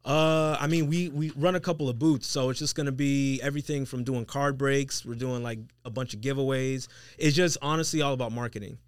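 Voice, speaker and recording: A quick-talking man with a mid-range voice, chatting informally as a podcast co-host. He sounds opinionated and conversational, recorded dry and close. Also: mid-range voice, fairly even intonation, smooth, dry recording. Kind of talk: casual sneaker chat.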